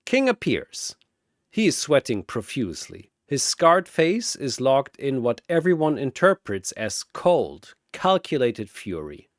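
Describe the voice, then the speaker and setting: A man's voice: mid-range voice, dry recording, studio quality. A man delivering dramatic scripted battle narration like an arena announcer, theatrical and grandiose.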